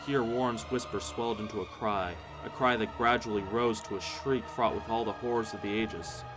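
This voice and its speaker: A man's voice: on the low side, fairly even intonation, moderate pace. A man narrating a horror story in the first person, solemn and theatrical. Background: music.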